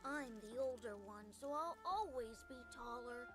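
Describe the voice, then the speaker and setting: A woman's voice: fairly high pitch, lively intonation, unhurried. A woman voicing a boastful young boy, an older playmate, in a game. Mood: boastful, assured. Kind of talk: game voice acting. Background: game music.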